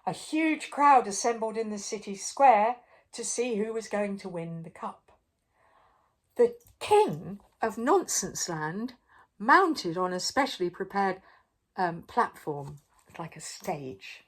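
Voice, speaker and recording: An older woman reading a children's story aloud expressively, pausing for drama and putting questions to the listener. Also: mid-range voice, lively intonation. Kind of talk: animated read-aloud to young children.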